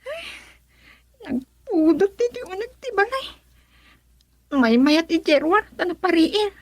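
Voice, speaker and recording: An actress with a Filipino accent playing a sweet, tender young rural daughter in a radio drama. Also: female voice, extremely high pitch, big pitch swings, measured pace, no echo, exaggerated voice. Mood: bright, eager.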